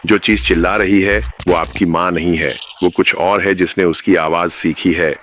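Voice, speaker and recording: A man's voice: deep voice, steady tone, normal speed, fairly bright tone, a little hiss or hum. A man narrating a scripted horror story, speaking ominously and addressing the listener directly.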